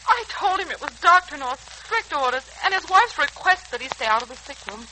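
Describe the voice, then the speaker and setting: An actress playing a dutiful young nurse, formal and firm, in a 1940s-style radio serial. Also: female voice, high-pitched, very animated, a little fast, bright, forceful tone, heavily acted, rough recording. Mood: alarmed, indignant. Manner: formal, polite but firm. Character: dutiful, steadfast young nurse standing her ground. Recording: old radio recording, faint hiss.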